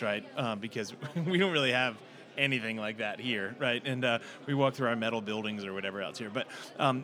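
A man hosting a discussion, searching for words with many pauses and fillers. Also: mid-range voice, bright, forceful tone. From United States. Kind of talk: casual discussion.

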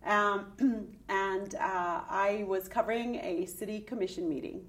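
A woman's voice: medium pitch, fairly even intonation, unhurried, a bit bright. A middle-aged woman, a guest telling her personal story with candid, searching speech and frequent fillers. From Ireland. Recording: voice somewhat distant from the mic.

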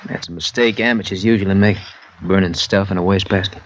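An American actor playing a plain-spoken, matter-of-fact police lieutenant in a 1950s radio drama. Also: male voice, low-pitched, fairly even intonation, fast talker, natural voice. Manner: plain, businesslike. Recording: muffled old radio recording, faint noise.

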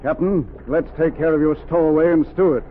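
Actor as a decisive special agent, tinny old radio. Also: male voice, a little high, brisk, lightly acted. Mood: calm, decisive. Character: decisive male undercover special agent, cool and urgent. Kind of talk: 1930s radio acting. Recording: tinny, noisy 1930s radio recording.

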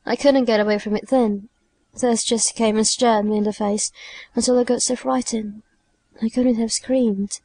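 A woman voicing a frightened, dying young woman in an audiobook, plaintive and halting as she pleads. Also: fairly high pitch, steady tone, warm tone, no room sound. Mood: confiding, fearful. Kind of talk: audiobook character dialogue.

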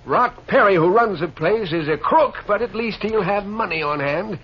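An actor playing a feisty, generous old gold prospector, folksy and excitable, in a 1950s western radio drama. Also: male voice, high voice, normal speed, husky. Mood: brisk. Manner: folksy, plain-spoken, excitable. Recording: dull, noisy old radio recording.

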